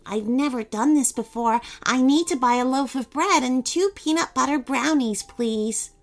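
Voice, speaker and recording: A woman playing a shy, nervous young boy speaking quietly and hesitantly in a children's story. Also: high-pitched, normal speed, pitched above the performer's own voice.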